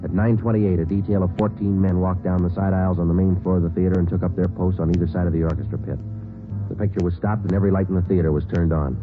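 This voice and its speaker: A man's voice: sits low, little pitch movement, quick pace, music in the background, natural voice. A man playing a clipped police sergeant. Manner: clipped, matter-of-fact delivery. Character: terse male police sergeant narrating a case. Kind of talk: 1940s radio drama acting. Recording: tinny old radio broadcast.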